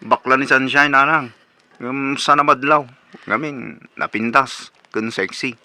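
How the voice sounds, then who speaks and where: A man's voice: medium pitch, steady tone, unhurried, sharp tone, dry recording. A Filipino man playing an irritable young bachelor son in a comedic radio drama, curt and scolding. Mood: confessing.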